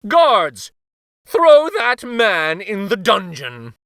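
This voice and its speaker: Man putting on a fussy, forceful storybook king. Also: sits high, wildly swooping pitch, drawn-out words, dry recording, studio quality, voice pushed up, full character voice. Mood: stern. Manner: whiny, exclaiming, imperious. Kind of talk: children's storybook character voice.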